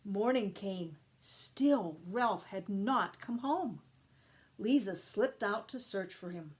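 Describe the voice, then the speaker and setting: A woman reading a children's story aloud with expressive, deliberate emphasis. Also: mid-range voice. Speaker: storytime reader, teacher.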